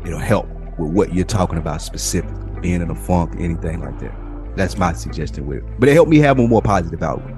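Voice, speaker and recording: Deep-voiced man chatting on a podcast. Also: animated, fast, a little mellow, soft background music. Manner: laid-back, rambling, swears freely. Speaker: podcast co-host. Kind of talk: casual podcast chat.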